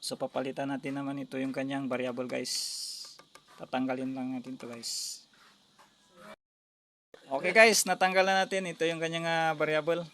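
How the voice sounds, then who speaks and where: A man with a Filipino accent giving a casual, hands-on, step-by-step repair demonstration as a tutorial host, speaking haltingly and often addressing viewers. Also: mid-range voice, fairly even intonation, pushed and bright. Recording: noisy amateur recording with clicks.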